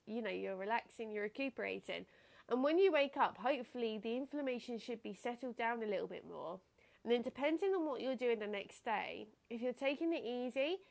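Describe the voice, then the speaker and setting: A young woman hosting her own podcast, talking in a casual, reflective way with a slightly nasal, stuffed-up voice and frequent laughs. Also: fairly high pitch. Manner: chatty, reflective, laughs often. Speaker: podcast host. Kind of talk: casual solo podcast talk.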